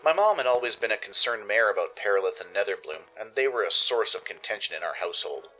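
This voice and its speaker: Bright, low-voiced man narrating dramatically. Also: fast, soft background music, rough recording. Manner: theatrical, expressive. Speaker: narrator and radio play host.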